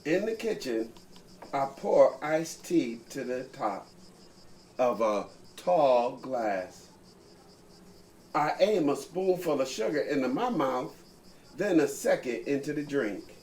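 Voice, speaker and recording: A man reading a children's picture book aloud, taking turns with a co-reader, slowly and expressively. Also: mid-range voice, expressive, a little mellow, slight background noise. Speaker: co-reader of the story. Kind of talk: bedtime story reading.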